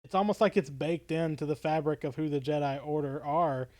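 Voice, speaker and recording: A man's voice: on the high side, a little fast, a little mellow, dry recording, clean studio sound. A man co-hosting a podcast, talking casually in a fan discussion. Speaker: podcast co-host.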